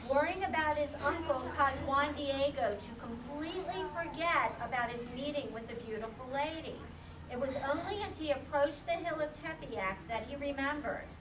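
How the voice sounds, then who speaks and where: In an echoey room, picked up from a distance, a woman narrates a children's puppet show. Her voice is high, and she reads from a script as a storyteller. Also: background noise. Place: live performance in a room, far mic.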